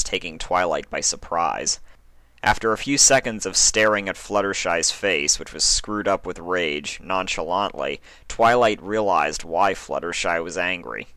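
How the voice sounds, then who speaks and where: A man's voice: low voice, moderate pace, sharp tone, dry recording. A man narrating a written story as a dramatic reading. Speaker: fan fiction reader.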